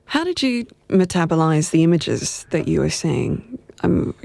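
Male radio host, heavy vocal fry, a bit high-pitched, probing questions. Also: very animated, a little slow, darkish tone, no room sound. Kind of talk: radio interview.

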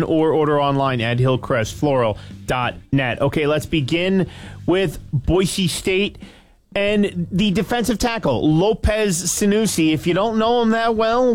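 A man with a high voice reads a sports update on air. His delivery is smooth and steady. Faint music plays under him. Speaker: sports radio host. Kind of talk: radio update and sponsor read.

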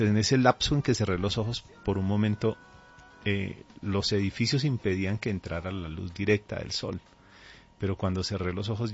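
Low male voice, Colombian accent, earnest pastor, conversational, dull lo-fi radio sound. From Colombia, raised there from age two. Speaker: pastor, interview guest. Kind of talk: radio interview, conversational testimony. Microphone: studio microphone. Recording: dull, band-limited radio audio.

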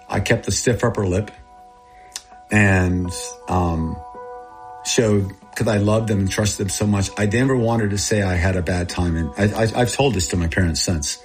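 A man in his sixties from Texas and Thailand, a native Thai speaker, telling personal stories in an earnest, confessional way in short plain phrases, with a husky voice. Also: on the low side. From born in Texas, grew up in Thailand. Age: 60s. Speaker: interviewee, missionary aid group founder. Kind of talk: personal storytelling in an interview.

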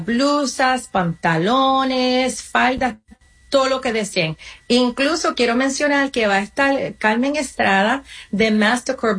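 A woman answering interview questions as a community organization guest, explaining her advice with examples and addressing the listener directly. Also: fairly high pitch. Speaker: guest, community nonprofit representative.

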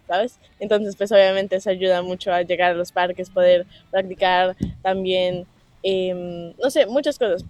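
A teenage woman from Colombia, a skateboarder guest, chatting casually and relaxed with many fillers. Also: mid-range voice, no echo. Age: teens. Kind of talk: casual interview chat.

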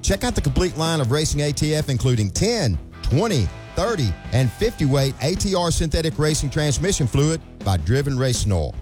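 A man's voice: on the high side, very animated, brisk, a little mellow, faint music behind. Punchy man reading a product ad. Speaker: ad announcer. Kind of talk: radio ad read.

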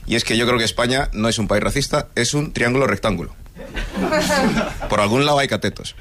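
A man's voice: medium pitch, fairly quick, a bit bright, a little hiss or hum. A man from Nigeria who grew up partly in Spain, a native English speaker, telling stand-up stories conversationally. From Nigeria, moved to Spain as a child. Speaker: stand-up comedian. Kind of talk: stand-up comedy storytelling.

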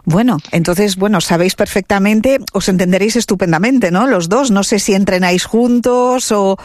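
A woman's voice: medium pitch, moderate pace, darkish tone, dry recording. A woman interviewer asking chatty, leading questions.

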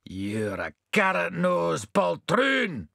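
Rude loud talking pudding, high and cheeky, storybook; man reading. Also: big pitch swings, unhurried, creaky, slightly rough, no room sound, clean studio sound, exaggerated voice. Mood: insulting. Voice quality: insulting, loud.

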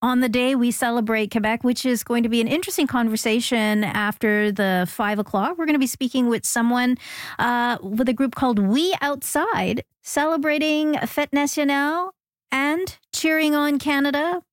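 A woman's voice: high voice, moderate pace, dry recording. A woman radio host in a studio, chatty and laughing easily as she banters and hands off segments. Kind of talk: live radio show banter. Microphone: studio microphone.

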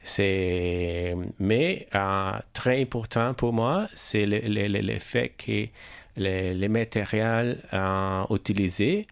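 An Italian man speaking with an Italian accent as a radio guest, halting and searching for words, in a radio studio. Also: on the low side, creaky, clear, resonant tone, no room sound. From Italy. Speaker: radio guest, business founder. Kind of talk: radio interview answers.

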